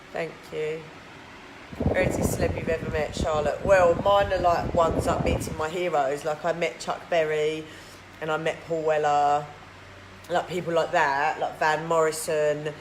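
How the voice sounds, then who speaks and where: A tired woman with a husky voice, chatting casually on a live stream and answering viewer comments. Her throat is huskier than usual, and she rambles, filling her talk with "like". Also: low-pitched, fairly even intonation, unhurried. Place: indoors in a hot treatment clinic room. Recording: echoey room with loud background noise.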